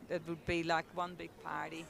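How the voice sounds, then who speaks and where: A woman's voice: low-pitched, little pitch movement, normal speed, creaky, crowd noise. A young Dutch woman with a Dutch accent, chatting casually as a guest commentator at a hockey game, with lots of ums and laughs. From Netherlands. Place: outdoors at a field.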